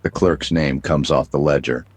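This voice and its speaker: A man narrating as a world-weary private detective, deadpan with dry wisecracks, in a 1930s-style noir radio drama. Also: extremely low pitch, moderate pace.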